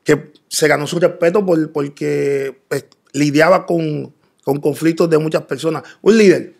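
A middle-aged man from the Dominican Republic raised in Rico, answering interview questions calmly with many pauses and fillers. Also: a little high, creaky. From Dominican Republic, raised in Puerto Rico. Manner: calm, hesitant, many fillers. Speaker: interview guest. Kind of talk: casual interview. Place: pink studio set. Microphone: boom studio mic.

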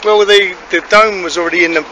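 A man's voice: high voice, fairly even intonation, fast talker. A man in casual sightseeing chat, with an exclamatory way of talking. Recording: noisy amateur camera audio.

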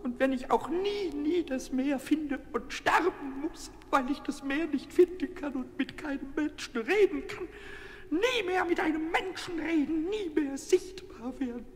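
An actor voicing a small, mischievous childlike kobold, squeaky and scratchy, stammering and repeating words in a children's audio play. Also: male voice, animated, measured pace. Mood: sulky, mournful. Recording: reverberant old audio play with hiss.

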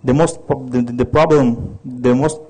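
A man's voice: mid-range voice, steady tone, a little slow, a little mellow, slightly rough, rough recording. A man in his thirties from Ukraine with a Russian accent, giving a technical conference talk with many restarts and fillers. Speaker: conference speaker.

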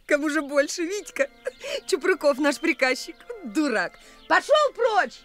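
A woman's voice: high voice, big pitch swings, takes it slowly, a bit bright, faint music behind, full character voice. An actress playing a capricious, teasing, bored young provincial woman in a radio play, mocking and imperious. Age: young adult.

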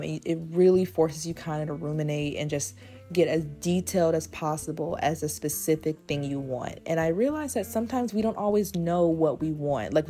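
A woman in her twenties, hoarse from losing her voice, talking casually about goal setting as a journaling channel host, keeping her voice low. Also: mellow. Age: 20s. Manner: deliberately speaking in a lower register. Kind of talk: casual talk over journaling.

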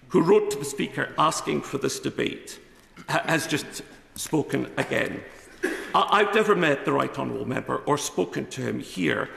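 Gravelly man making a formal speech. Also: on the high side, a bit bright. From Scotland. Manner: formal, defensive, reading from notes. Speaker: member of parliament. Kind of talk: parliamentary speech. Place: debating chamber. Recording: echoey chamber with long reverb.

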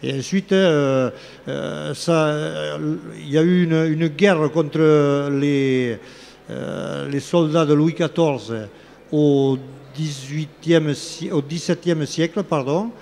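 A man's voice: a little high, steady tone, slow pace, creaky, a little mellow. A man in his sixties, a local elected official, speaking in a live interview on a fair-hall studio set, hesitant with many fillers and restarts. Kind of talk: live talk-show interview. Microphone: red handheld microphone. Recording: faint fair hall ambience.

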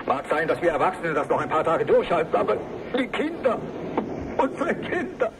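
An actor in a radio play playing a desperate, bitter mayor pleading emphatically over a radio link. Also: male voice, on the high side, big pitch swings, fairly quick. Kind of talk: acted radio link interview. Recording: noisy two-way radio link.

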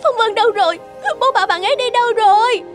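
Expressive young woman, cartoon, very high pitch, stubborn, emphatic. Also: fast, soft background music. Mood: upset, disbelieving. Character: emotional young woman, cheerful streamer and stubborn daughter. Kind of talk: animated cartoon dialogue.

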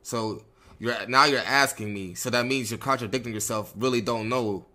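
A man's voice: medium pitch, normal speed, bright, forceful tone. A man improvising game roleplay as a suspect in casual street slang, terse and defiant in manner. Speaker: streamer role-playing a suspect.

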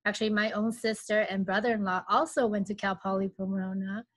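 A woman in her thirties joins a panel over a video call through an earbud headset. She sounds upbeat and chatty and drops "you know" often. Her voice has a middle pitch. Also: steady tone, brisk. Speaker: agency founder and panelist. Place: home office.

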